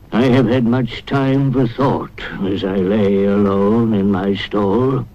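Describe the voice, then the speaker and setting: An actor playing an aged, dying boar who gives grave, declamatory speeches in a 1950s animated film. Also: male voice, mid-range voice, muffled recording. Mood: weary, solemn. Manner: grave, declamatory, deliberate dramatic pauses. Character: aged dying boar, solemn revolutionary farm orator. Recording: noisy 1950s film soundtrack.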